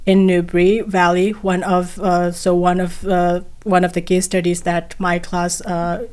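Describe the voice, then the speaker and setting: A woman's voice: lowish voice, level tone, measured pace, darkish tone, smooth. A young woman from Nepal, a guest on a podcast interview, speaking hesitantly with many fillers and restarts. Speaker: guest, PhD candidate.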